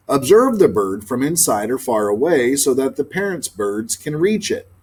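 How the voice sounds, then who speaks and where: Animated man reading aloud to children. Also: medium pitch, slightly rough. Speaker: storybook reader, teacher. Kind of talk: expressive children's read-aloud narration.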